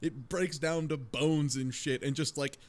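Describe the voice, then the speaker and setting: A man's voice: on the high side, measured pace. A man co-hosting a podcast, casually recapping a game with swearing and acted-out quotes. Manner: sweary, animated mock-quoting. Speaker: podcast co-host. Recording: music faintly behind the talk.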